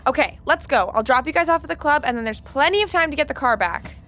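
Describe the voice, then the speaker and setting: High-voiced, excitable Laura. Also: female voice, fast talker. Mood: bossy. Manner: exclamatory, emphatic. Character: Laura, excitable, easily flustered young woman in sitcom. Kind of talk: podcast sitcom acting. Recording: clear background noise, vehicle ambience.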